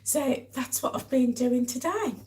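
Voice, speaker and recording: A woman with a hoarse, croaky voice from a cold, chatting casually to live viewers as a vlog host. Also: high voice, animated, unhurried, a little mellow. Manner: chatty, addresses viewers directly. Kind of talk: casual live-stream chat.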